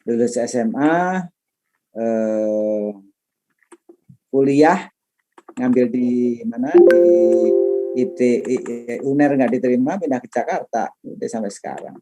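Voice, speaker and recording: A man's voice: medium pitch, slow, long pauses, dark tone, faint music behind, rough recording. A man, a lecturer and trainer talking informally and chattily to his class. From Madiun, East Java, Indonesia. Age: middle-aged, late 50s. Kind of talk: informal class introduction, chatty autobiographical talk.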